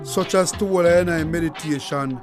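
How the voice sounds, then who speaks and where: High-voiced man reciting over guitar. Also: a little fast. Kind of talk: solemn recital of rules in Rasta speech. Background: guitar music.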